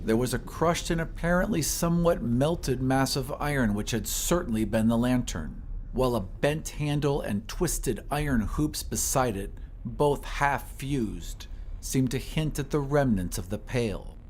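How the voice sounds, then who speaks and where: A man reading a scripted horror story in a measured, literary storytelling manner. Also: mid-range voice, darkish tone, slight background noise. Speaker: audiobook narrator. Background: ambient sound effects.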